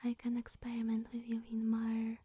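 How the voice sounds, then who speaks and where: A woman playing a lonely, awkward immortal witch in an ASMR roleplay, speaking in a breathy, whispery, hesitant and coy way. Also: fairly high pitch, completely flat delivery, moderate pace, mellow, a little hiss or hum, lightly acted. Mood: giddy, coy.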